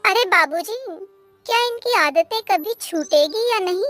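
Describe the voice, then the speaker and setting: A woman's voice: extremely high pitch, expressive, a little slow, a bit bright, faint music behind, pitched above the performer's own voice, full character voice. A woman voicing an exasperated, hardworking young village wife who complains in a moral-story cartoon. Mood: fed up. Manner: complaining, fed up.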